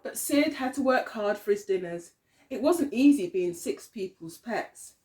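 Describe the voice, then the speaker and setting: A woman teacher reading a picture story aloud to young children, expressively, often pausing to put questions to them. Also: mid-range voice, animated, darkish tone.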